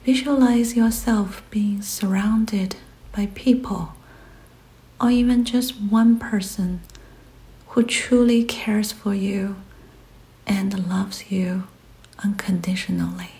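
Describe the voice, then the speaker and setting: Slow, soft-spoken woman guiding meditation. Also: mid-range voice, fairly even intonation, poor audio. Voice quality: soft, breathy, often near-whispered. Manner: gentle, soothing, pausing between short phrases. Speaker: meditation guide. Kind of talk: guided meditation, scripted.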